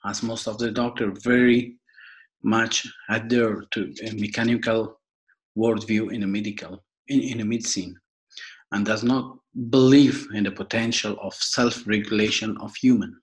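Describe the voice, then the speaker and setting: Low-voiced man with an Afghan accent. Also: steady tone, poor audio. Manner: halting, formal, repeats and rephrases phrases. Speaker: podcast host and foundation worker. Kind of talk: prepared podcast introduction.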